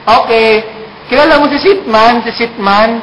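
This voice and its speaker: Slow, echoey, jokey Filipino puppet voiced by a performer. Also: mid-range voice, a bit bright, close to an everyday voice. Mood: playful. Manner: teasing, repeats punchlines and explains them. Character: jokey puppet sending mangled-word greetings to a friend. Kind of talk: playful puppet show greeting, casual. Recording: roomy echo, faint noise.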